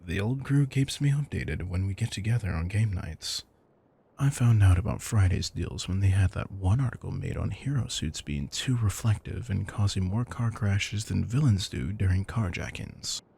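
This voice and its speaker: A man's voice: low-pitched, moderate pace, vocal fry, warm tone, slightly rough, heavily acted. A man playing an affectionate, theatrical retired supervillain turned doting house husband, teasing and mock-grandiose in an intimate audio roleplay. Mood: smug, casual.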